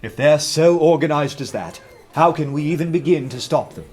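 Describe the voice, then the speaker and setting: A man playing an earnest detective who narrates his undercover adventure in a measured, literary radio play style. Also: fairly high pitch, darkish tone, breathy edge. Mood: earnest, questioning. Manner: measured, literary, dramatic storytelling. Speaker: narrator and lead character.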